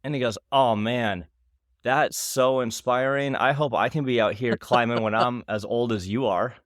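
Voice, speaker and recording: Animated man in his forties telling a story on a podcast, laughing often and jumping to excited highs. His voice is mid-pitched and recorded dry and close, with no room sound. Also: normal speed, no echo. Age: 40s. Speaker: podcast co-host. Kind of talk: casual chat.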